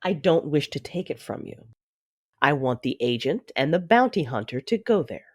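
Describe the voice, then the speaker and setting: A woman playing a commanding, coolly menacing Sith lord in an audio drama, speaking in a measured, imperious, formal way. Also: sits low, very animated.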